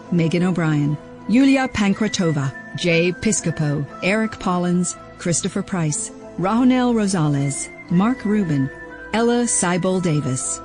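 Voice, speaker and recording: Female narrator, slightly low register, scripted audio production announcements, soft music underneath. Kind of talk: scripted narration and credits reading.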